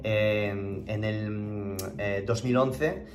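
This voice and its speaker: A man's voice: fairly low pitch, fairly even intonation, extremely slow, over music. A man in his thirties who grew up in France, speaking Spanish with a French accent as a keto diet coach on a live stream, casual and rambling with many fillers and restarts. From southern France, Spanish parents.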